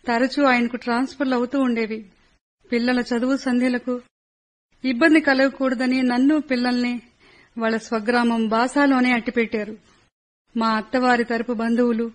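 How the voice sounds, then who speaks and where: A woman's voice: on the high side, natural voice, low-quality recording. A woman playing a worried, middle-aged soldier's wife in a radio play, telling her story in earnest and pleading with her husband. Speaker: narrator and lead.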